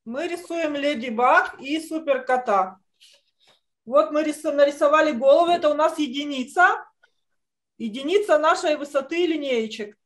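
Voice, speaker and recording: A middle-aged woman teaching children drawing step by step over a video call, explaining patiently and haltingly. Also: high voice. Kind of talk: step-by-step online lesson instruction. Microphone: computer mic on video call.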